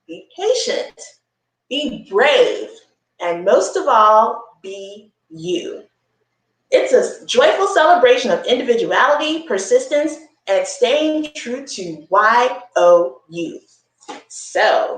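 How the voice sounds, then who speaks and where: A woman hosting a children's story time, reading a picture book aloud with expressive, encouraging asides and simple explanations. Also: fairly high pitch, roomy sound, rough recording.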